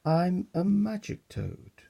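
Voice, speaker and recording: Calm, smug magic toad for a rhyming storybook, husky and very slow, as a storyteller puts it on. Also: mid-range voice, heavily acted. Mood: cool. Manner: cool and unhurried.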